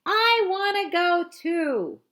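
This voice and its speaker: Lady doing a bratty whiny little sister, pitched way up, loud, demanding. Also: expressive, takes it slowly, full character voice. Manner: loud, insistent whining.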